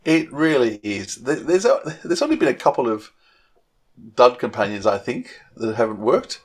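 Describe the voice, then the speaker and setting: A man's voice: mid-range voice, very animated, moderate pace. A middle-aged man co-hosting a podcast in casual fan chat, opinionated and often hesitating as he thinks aloud. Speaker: podcast co-host.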